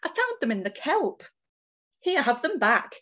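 A friendly lady shark from a storybook , acted by a woman. She speaks in a very high, eager, exclaiming voice. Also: sing-song, a little slow, fairly bright tone, dull audio, exaggerated voice.

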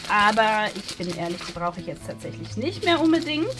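A woman's voice: medium pitch, sing-song, a little fast. A woman chatting casually as she hosts a craft demonstration, thinking aloud while she works. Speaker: host of a craft haul video. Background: music.

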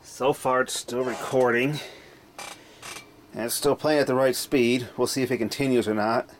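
A man's voice: medium pitch, steady tone, normal speed. Man talking offhand over noisy camera audio. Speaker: hobbyist vlogger. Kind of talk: casual handheld demo narration. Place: dim indoor room at home. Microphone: camera mic, held by speaker.

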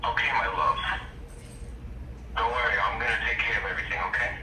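Older guy on speakerphone, very sharp tone, repeats his words, echoey. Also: fairly low pitch, a little fast, slight background noise. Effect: phone speaker. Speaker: grandfather being prank-called. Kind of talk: casual phone conversation. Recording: tinny phone speaker, echoey, distant.

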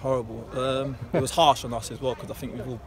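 Young man, English accent, footballer, casual, fast talker, crowd noise. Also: mid-range voice, level tone. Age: young adult. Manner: casual, quick, lots of fillers. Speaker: football player. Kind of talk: post-match interview. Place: outdoors at a football ground at night.

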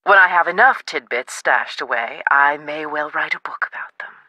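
A woman playing a self-assured, scholarly researcher in a video game, precise and theatrical with deliberate emphasis. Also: deep voice, expressive, bright, forceful tone, dry recording, exaggerated voice, poor audio. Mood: brisk, learned.

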